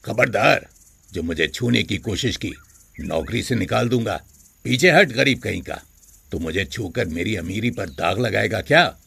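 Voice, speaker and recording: A man's voice: on the low side, animated, measured pace, gravelly, bright, forceful tone, breathy edge, exaggerated voice. An actor playing a haughty, scornful rich father who scolds with contempt in a story.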